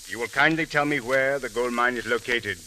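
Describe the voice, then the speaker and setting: An actor playing a stern, suspicious police captain, speaking formally and deliberately in old radio drama style. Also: male voice, mid-range voice, sharp tone, poor audio. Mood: pressing. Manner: formal, deliberate, uncontracted speech. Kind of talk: 1940s-50s radio detective acting. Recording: hissy old radio recording.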